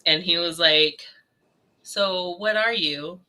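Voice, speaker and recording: Bright-voiced young woman telling stories. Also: on the low side, slow. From California. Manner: storytelling, swears casually, mimics others in quotes. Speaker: podcast co-host. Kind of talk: casual chat.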